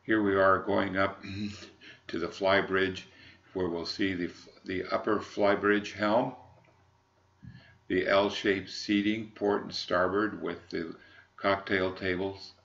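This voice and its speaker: An American man, middle-aged or older, giving an unscripted sales walkthrough in a halting, measured, formal manner. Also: low-pitched, level tone, gravelly, a bit bright, dull audio. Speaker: yacht broker presenting a boat.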